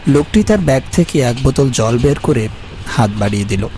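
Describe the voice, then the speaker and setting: A man playing a polite, uneasy traveller who narrates an eerie animated ghost story in the first person. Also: medium pitch, brisk, a little hiss or hum, close to an everyday voice. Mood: grateful, conversational. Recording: faint music behind the voice.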